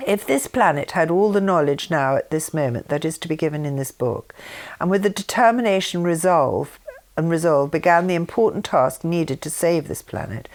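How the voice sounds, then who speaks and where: A woman's voice: deep voice, expressive, moderate pace, darkish tone. An older woman reading written messages aloud with informal asides, speaking in an earnest way. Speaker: reader and speaker.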